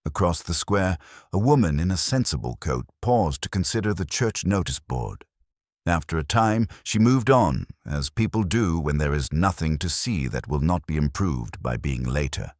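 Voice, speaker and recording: A man reading a cosy literary mystery as a scripted audiobook narration, in a measured, dryly wry and understated manner. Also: very deep voice, expressive, a little mellow, no echo. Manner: dry, measured, wryly understated.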